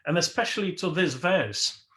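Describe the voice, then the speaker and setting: A man whose first language is Polish, leading a worship service as its host and speaking slowly and reflectively, with emphatic rises, over a video call. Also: on the high side, animated, pushed and bright. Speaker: worship leader. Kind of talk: sermon.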